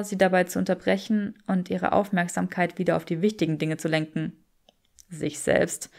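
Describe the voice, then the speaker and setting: A woman's voice: medium pitch, vocal fry, a little mellow. A woman reading fiction aloud as an audiobook narrator.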